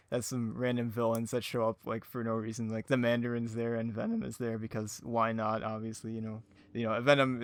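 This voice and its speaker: A man with a mid-pitched voice talks casually as a podcast guest. He rambles in a giggly, self-deprecating way, leaning on "like" as he goes. The recording is very dry. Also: no echo. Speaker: podcast guest, hobby audio drama creator. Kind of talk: casual chat, telling anecdotes.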